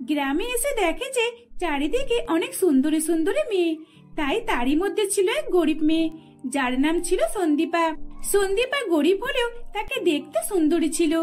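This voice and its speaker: Very high-pitched woman storyteller. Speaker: storyteller narrator. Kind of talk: scripted cartoon story narration. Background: faint music.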